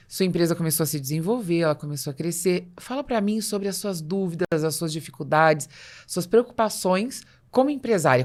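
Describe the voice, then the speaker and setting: Slightly low-voiced Brazilian woman hosting an interview. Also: darkish tone. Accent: Brazilian Portuguese. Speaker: podcast host. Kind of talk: conversational business interview.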